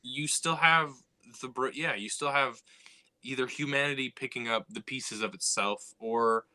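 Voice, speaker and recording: A man's voice: medium pitch, a little slow, pushed and bright. A man talking casually and thoughtfully about storytelling, reflecting aloud with frequent "you know" and "like". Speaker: writer and co-host. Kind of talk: casual podcast chat.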